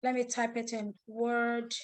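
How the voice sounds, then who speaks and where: A woman's voice: on the high side, level tone, a little slow. A Nigerian woman, a native Igbo speaker, teaching an online class over a video call, explaining step by step, repeating herself and asking attendees questions. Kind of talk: live screen-share tutorial.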